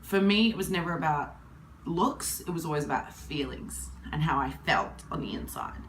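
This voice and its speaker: A woman in her twenties with a husky voice from hay fever, talking candidly to camera in a rambling, casual way. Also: deep voice, animated, normal speed, slight background noise, low-quality recording. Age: 20s. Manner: candid, rambling, with many fillers. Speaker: vlogger.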